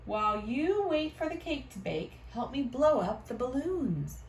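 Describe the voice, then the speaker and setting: A woman's voice: sits high, very animated, measured pace, pitched above the performer's own voice, full character voice. A woman voicing a helpful father frog in a children's picture book, calling out instructions. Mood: helpful, instructing. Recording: roomy, distant mic, faint noise.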